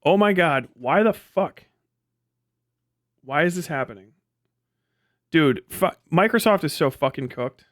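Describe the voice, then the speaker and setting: A man with a fairly high-pitched voice comments casually on a livestream. He sounds exasperated and incredulous, arguing his point with plenty of swearing. The sound is very dry, with no room on it. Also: lively intonation, unhurried, long pauses, no room sound. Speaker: livestream host reviewing own debate.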